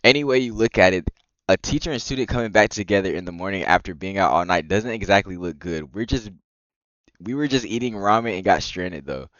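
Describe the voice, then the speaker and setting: A man's voice: sits low, brisk, a bit bright, no echo, lo-fi sound. A man streaming a visual novel, switching between casual, profane slangy commentary and reading the narration aloud. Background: plucked guitar game music.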